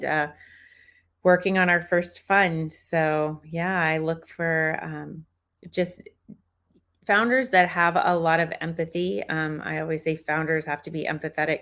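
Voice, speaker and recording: Woman on a video call panel, low flat voice but bright, chatty with restarts. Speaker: panelist, founder and investor. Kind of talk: casual panel self-introduction.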